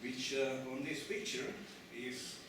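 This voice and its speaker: A man's voice: medium pitch, level tone. Man lecturing in an echoey hall. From Czech Republic. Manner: halting, many restarts and fillers, asks the audience questions. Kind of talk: conference lecture. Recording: echoey hall, distant mic, noisy.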